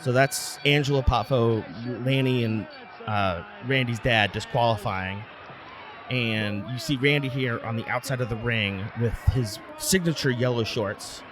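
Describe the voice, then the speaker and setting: A man's voice: medium pitch, a little slow, a crowd in the background. A man in his thirties hosting a podcast, moving between casual chat and scripted narration. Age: 30s. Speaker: podcast host.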